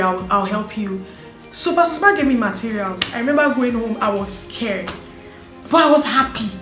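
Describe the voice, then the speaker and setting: A woman telling her own life story in an earnest, animated way. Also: mid-range voice. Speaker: vlogger sharing her story. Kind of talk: personal storytelling. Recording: echoey room with faint music.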